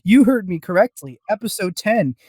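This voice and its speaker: A man's voice: high-pitched, animated, normal speed, darkish tone, no echo, clean studio sound. A man co-hosting a casual review podcast, talking in a rambling, self-deprecating way. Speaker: podcast co-host.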